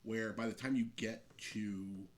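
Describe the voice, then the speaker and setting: A man's voice: on the low side, fairly even intonation, unhurried. A man speaking as a game designer guest, explaining his craft in a casual conversational way.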